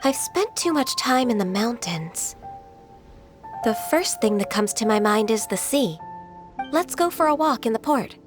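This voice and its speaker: A woman voicing a gentle, dutiful, reserved half-spirit maiden in a game, polite and soft-spoken. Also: fairly high pitch, expressive, measured pace, music in the background.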